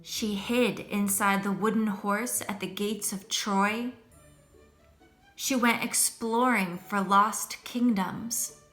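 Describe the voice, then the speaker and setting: A middle-aged woman reading a picture book aloud to children in an expressive, deliberate storytelling manner. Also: mid-range voice, soft background music.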